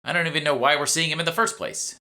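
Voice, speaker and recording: A man's voice: fairly high pitch, fast, sharp tone, voice pushed up. A man voicing an exasperated, questioning fantasy protagonist in an audiobook. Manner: incredulous, complaining. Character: exasperated, questioning sidekick narrator of urban fantasy.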